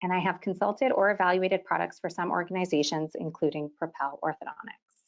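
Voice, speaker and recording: A woman in her thirties, from Quebec, Canada, presenting a continuing-education webinar as an orthodontist. She speaks in a clear, organized way and often opens her sentences with "so". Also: fairly low pitch, flat delivery, a little fast, muffled recording.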